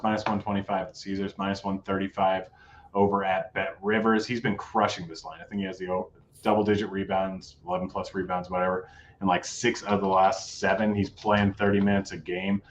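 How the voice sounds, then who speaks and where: A man's voice: deep voice, flat delivery, brisk, lo-fi sound, slight background noise. A man hosting a casual sports betting show, talking through his picks in a laid-back way, his voice a bit worn. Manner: laid-back, rattles off odds. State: losing his voice.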